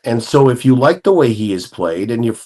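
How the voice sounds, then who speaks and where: A man, a guest hockey analyst, talking through the game in a measured, analytical way with frequent self-corrections. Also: mid-range voice, sing-song, a little mellow. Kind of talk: radio-style hockey talk.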